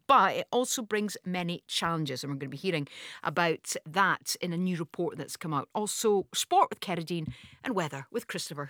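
A woman, a news presenter chatting on air and telling stories in an animated way. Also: lowish voice, dry recording, studio quality. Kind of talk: live radio chat.

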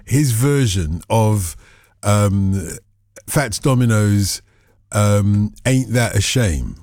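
A man's voice: on the low side, animated, very slow, darkish tone, no room sound. Playful male late-night radio host, dry close sound. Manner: playful, wordy, teasing. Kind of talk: casual chat with a caller.

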